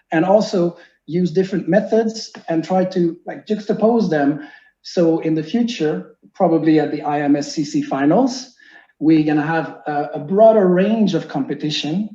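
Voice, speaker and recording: A man's voice: high-pitched, dark tone. A man in his forties from Quebec with a French-Canadian accent, French being his first language, presenting an online seminar earnestly with many fillers. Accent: Québécois French. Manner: earnest, explanatory, with frequent fillers and restarts. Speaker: teacher giving a seminar. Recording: echoey room, muffled lo-fi sound.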